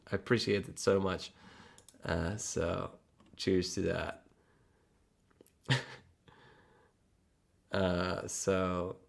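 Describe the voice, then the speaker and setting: Low-voiced man with a Polish accent. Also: creaky, fairly bright tone. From Poland. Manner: rambling, restarts words, laughs often; chatty and frank, mild swearing. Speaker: livestream host. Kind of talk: casual livestream chat.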